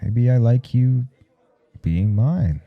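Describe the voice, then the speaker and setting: A man playing a flustered, jealous professor in an intimate audio roleplay, speaking haltingly and gruffly with a gravelly voice and plenty of swearing. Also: medium pitch, expressive, phone-quality sound, exaggerated voice. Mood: jealous confession. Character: jealous, flustered male professor smitten with his teaching assistant.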